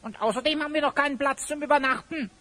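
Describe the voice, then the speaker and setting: A woman playing a small, cheeky, suspicious talking animal with a squeaky voice in a children's audio drama. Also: fast, pushed and bright. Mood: perky, suspicious.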